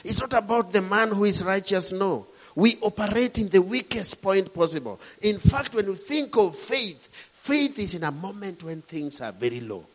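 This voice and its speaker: A man's voice: high voice, animated, moderate pace, few pauses. A middle-aged man from Kenya with a Kenyan accent, preaching a sermon with emphatic, rising and falling delivery.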